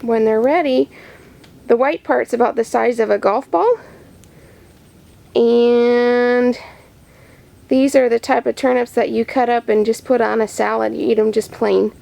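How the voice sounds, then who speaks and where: High-pitched woman with creaky voice, kid-friendly tour. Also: fairly even intonation, measured pace, pauses often, mellow. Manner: upbeat, explaining in simple words to small children. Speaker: vegetable farmer giving a farm tour to preschoolers. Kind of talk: simple child-directed show-and-tell narration. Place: vegetable farm, in growing tunnels and outdoor beds.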